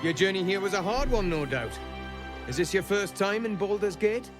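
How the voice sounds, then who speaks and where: A man's voice: high-pitched, lively intonation, moderate pace. An actor playing a folksy city guardsman with simmering resentment in a fantasy video game. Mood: hearty, chatty. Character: city guardsman, hearty surface, seething bloodthirsty resentment. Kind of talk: video game character acting. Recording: music behind the voice.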